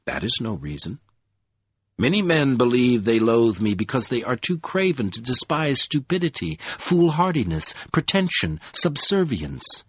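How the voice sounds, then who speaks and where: Brief: contemptuous ancient villain lord, husky and unhurried, low dry read, voiced by a male narrator. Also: big pitch swings, a bit bright, dry recording, lo-fi sound, heavily acted. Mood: menacing. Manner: slow, deep, sneering delivery. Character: scornful ancient male dark lord, mocking. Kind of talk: audiobook character voice.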